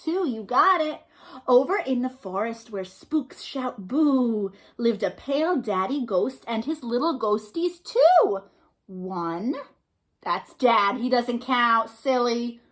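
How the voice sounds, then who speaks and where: A woman's voice: on the high side, lively intonation, takes it slowly, a bit bright. A woman hosting a storytime for young children, reading aloud and chatting in an encouraging, sing-song way with questions and praise. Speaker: children's storytime host and librarian.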